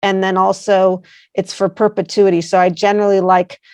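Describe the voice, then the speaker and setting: A woman's voice: medium pitch, level tone, moderate pace, darkish tone, clear, resonant tone, no room sound. A woman with an American accent, answering interview questions earnestly, with many fillers and rambling asides. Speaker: guest, placemaking founder.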